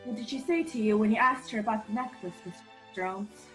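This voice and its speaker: A woman playing an earnest, upset young gentleman in an old-style radio play. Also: medium pitch, a little fast, soft background music, close to an everyday voice. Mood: anxious. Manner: urgent, earnest, wounded. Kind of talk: 1940s radio play acting.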